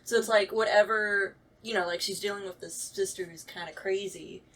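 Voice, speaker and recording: A woman's voice: mid-range voice, fairly even intonation, normal speed, fairly bright tone. A woman talking casually and a little hesitantly as a voice actor, circling her way toward each point. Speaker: voice actor on a talkback show. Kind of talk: casual chat. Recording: distant mic with clear background noise.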